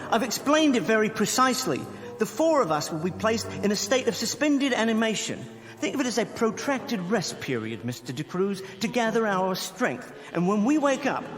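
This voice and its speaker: An actor playing a pompous, coolly confident criminal professor in a radio drama, speaking with precise, pedantic formality. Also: male voice, sits high, very animated, normal speed. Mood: patient, lecturing. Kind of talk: old-time style radio drama acting. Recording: long hall reverb. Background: faint dramatic music.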